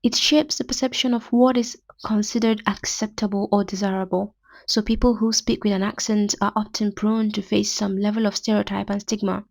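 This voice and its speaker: A woman's voice: mid-range voice, flat delivery, normal speed, vocal fry, dry recording. A young Nigerian woman with a Nigerian accent, hosting a podcast and reading a prepared literary essay in formal, academic wording. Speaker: podcast host.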